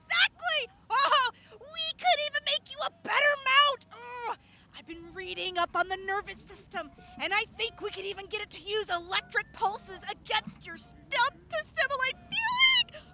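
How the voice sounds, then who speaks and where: Very high, swooping, peppy inventor. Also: female voice, moderate pace. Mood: excited. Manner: bubbly, eager, expressive. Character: peppy, excitable, teasing female gadget inventor. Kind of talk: audio drama acting.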